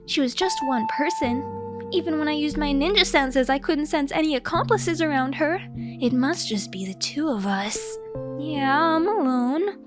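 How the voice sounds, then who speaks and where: High-pitched woman, theatrical comic storyteller. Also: animated, moderate pace, music in the background. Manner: theatrical, mock-heroic. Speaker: storyteller narrating own comic diary. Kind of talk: dramatic comedic scripted narration.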